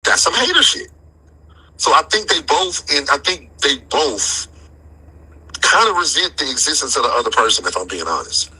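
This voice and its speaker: A man speaks in a very forceful, cutting voice with a heavily husky, rough edge. He talks casually and with slang, like a commentator in a debate, repeating phrases to drive his points home. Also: a little high, sing-song, normal speed, low-quality recording. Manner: emphatic, repeats phrases for emphasis. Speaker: commentator in a debate about rap. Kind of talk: casual opinionated discussion, slangy.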